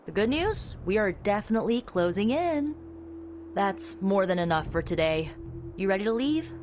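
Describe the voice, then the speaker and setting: A woman's voice: medium pitch, sing-song, normal speed, music in the background, natural voice. Woman as a casual, friendly young ally. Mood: supportive. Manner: informal, conversational. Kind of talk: video game voice acting.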